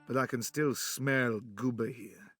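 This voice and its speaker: A man's voice: medium pitch, steady tone, unhurried, over loud music, natural voice. An actor playing a weary male resistance leader who talks tersely and with determination. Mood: determined. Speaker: game protagonist.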